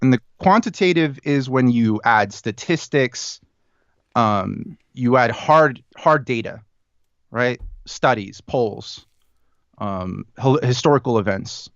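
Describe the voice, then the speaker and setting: A man's voice: medium pitch, animated, takes it slowly, a bit bright, no room sound, lo-fi sound. A man in his thirties, a podcast guest, talking casually and thoughtfully with many fillers and restarts. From United States and Chile. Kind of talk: casual conversation.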